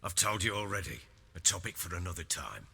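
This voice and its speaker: Husky, blustering Northern English baron. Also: male voice, low voice, sing-song, brisk, pushed and bright, full character voice. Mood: guarded. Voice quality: gruff, husky. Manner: blustering, emphatic. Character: blustering middle-aged warlord baron, gruff, quick-tempered father. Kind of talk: video game character acting. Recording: hall reverb, music underneath.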